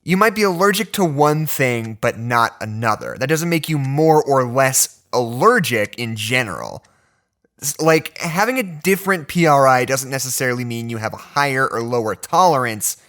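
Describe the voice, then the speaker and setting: A nerdy, earnest researcher in an audio drama. The voice is on the high side and swings widely as he explains haltingly and fussily. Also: lively intonation, a bit bright. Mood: earnest explaining. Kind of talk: conversational audio drama dialogue.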